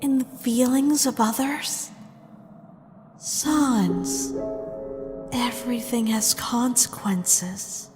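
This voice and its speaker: A woman acting a wounded, stern young princess with slow, accusing delivery in a dramatic fan comic dub. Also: on the high side, fairly even intonation. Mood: furious, anguished. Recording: long reverb under loud background music.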